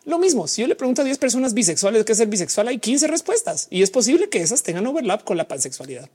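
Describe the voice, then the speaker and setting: Husky chatty woman, mixed Mexican-Colombian accent. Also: a little high, big pitch swings, fast talker, dark tone. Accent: mixed Mexican and Colombian Spanish. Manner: casual, chatty, explains at length with slang. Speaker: livestream host. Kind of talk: live chat reading audience comments.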